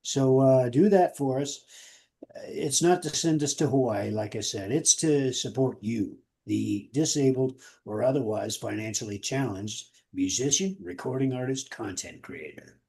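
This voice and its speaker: A man's voice: mid-range voice, a little slow, warm tone, slightly rough. A man co-hosting a casual radio show, talking in a folksy, earnest way with colloquial phrasing. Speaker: podcast co-host. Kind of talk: casual radio show talk with listener appeals.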